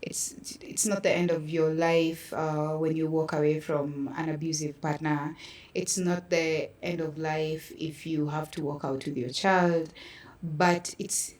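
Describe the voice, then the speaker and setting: A woman's voice: deep voice, little pitch movement, measured pace, darkish tone. A woman with a Kenyan accent speaking earnestly and reflectively in a conversational interview. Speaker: podcast guest, artist. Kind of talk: personal testimony in conversation. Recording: roomy echo, distant from mic.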